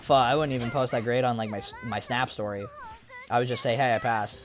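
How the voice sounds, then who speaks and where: A young woman chatting casually over gameplay, rambling and self-deprecating, with lots of fillers and restarts. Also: rumbling low. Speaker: gaming streamer.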